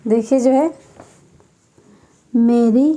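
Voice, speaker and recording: A woman teacher giving an exam-prep grammar lesson, dictating slowly and repeating each term several times. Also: fairly high pitch, steady tone, dark tone.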